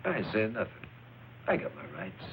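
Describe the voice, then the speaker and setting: An actor playing a surly, contemptuous small-time hoodlum in a film noir crime drama, gruff, defiant and slangy. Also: male voice, mid-range voice, expressive, moderate pace, highs cut off, slight background noise. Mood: defiant, sneering.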